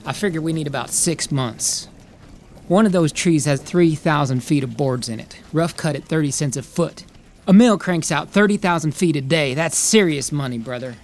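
An actor playing a volatile, ambitious 1890s drifter who talks in folksy slang as he pitches big schemes in an audio drama. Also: normal speed, darkish tone, a little hiss or hum, lightly acted. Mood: persuasive. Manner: folksy, slangy frontier talk. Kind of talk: acted screenplay reading.

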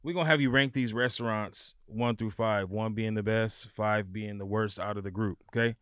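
A man's voice: on the low side, steady tone, moderate pace, fairly bright tone, smooth, dry recording, studio quality. A man, hosting a podcast in a casual interviewing style. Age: 30s. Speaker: podcast host.

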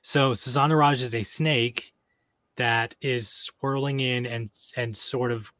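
A man's voice, recorded dry and close with no room sound, talks through a theory at an unhurried pace. He stops and restarts often, padding his thoughts with "uh" and "um" as he goes. It sounds like relaxed podcast chat. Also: medium pitch, no room sound. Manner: thinks aloud with hesitant fillers and restarts. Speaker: podcast co-host discussing game lore.

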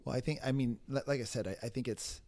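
A man hosting an interview, speaking haltingly with many repeated words and restarts. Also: mid-range voice, warm tone, dry recording. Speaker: interview host. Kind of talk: casual conversational interview.

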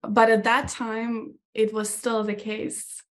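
A woman's voice: on the high side, flat delivery, slow, low-quality recording. A woman from Ukraine in her thirties, a native Ukrainian speaker, talking thoughtfully as an interview guest and often restarting her sentences. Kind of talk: conversational interview.

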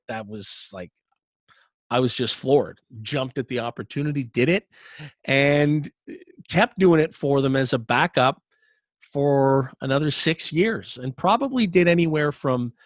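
A man's voice: mid-range voice, no room sound, studio quality. A middle-aged man, an arena announcer telling his career story as an interview guest in an upbeat, chatty way, often repeating words as he restarts. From Canada.

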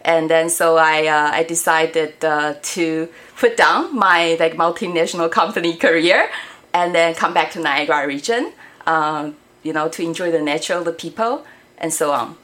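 A woman's voice: low voice, moderate pace, fairly bright tone. A woman in her forties, from Taiwan and not an English speaker, talking friendly and hesitantly as an interview guest. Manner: friendly, hesitant, many fillers. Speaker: guest, robotics education director. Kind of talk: casual interview chat.

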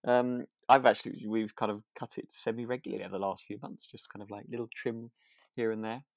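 A man co-hosting a podcast in casual chat, speaking in a soft, low-key way with hesitant, self-correcting phrasing. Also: little pitch movement, quick pace, creaky, no echo, clean studio sound. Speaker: podcast co-host. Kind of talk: casual chat about a radio drama.

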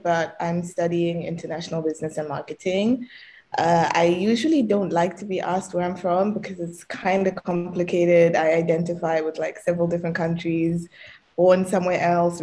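A young woman, a student guest, explaining things in a relaxed way with light laughs. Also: fairly low pitch, normal speed. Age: young adult. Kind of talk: casual podcast chat.

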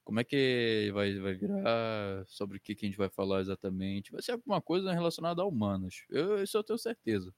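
A man's voice: fairly low pitch, animated, brisk, hardly any pauses, no room sound, clean studio sound. A twenty-year-old Brazilian man hosting a podcast as a casual chat with friends, slangy and foul-mouthed. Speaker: podcast host.

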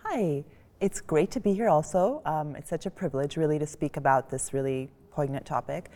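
A woman's voice: low voice, normal speed, dark tone. A woman speaking on a panel in a library reading room, thoughtful and articulate. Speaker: panelist, community board member. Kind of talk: panel discussion. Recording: long reverb of a large hall.

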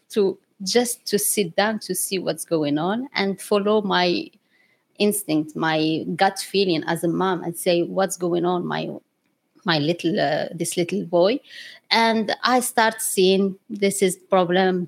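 Low gravelly woman from Morocco, laughing. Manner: laughs often, non-native English grammar. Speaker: guest. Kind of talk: interview storytelling.

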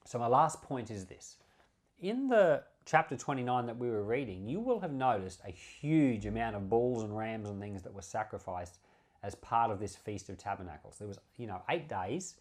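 A man's voice: lowish voice, darkish tone. A man giving informal Bible teaching as a pastor, speaking earnestly and conversationally with emphatic repetition. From Australia. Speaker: pastor, Bible podcast host.

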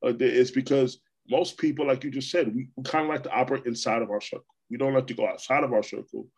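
A man from New York hosting a podcast, talking in a relaxed, casual way with lots of repeats and restarts. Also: medium pitch, fast talker, slightly rough. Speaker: podcast host. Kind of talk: casual conversation.

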